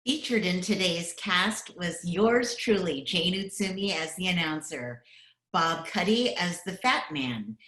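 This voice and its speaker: Echoey low-pitched woman announcer. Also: pushed and bright. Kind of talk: scripted radio credits announcement. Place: at home, remote recording. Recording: roomy, echoey home recording.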